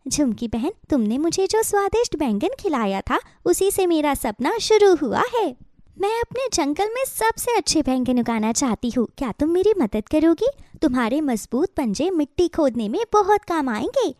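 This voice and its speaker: Little female cartoon bird, played by a woman: high, lively pitch, dry sound, eager and determined. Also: normal speed, no room sound. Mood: eager, happy. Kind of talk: Hindi children's cartoon story acting.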